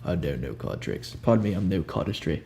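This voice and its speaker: A young man improvising a soft-spoken ASMR roleplay as a cool, ominous recruiter, talking in a casual, blunt, unhurried way over hiss and hum. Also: sits low, expressive, vocal fry. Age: young adult. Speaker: ASMR roleplayer playing a job interviewer. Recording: audible background hiss and hum.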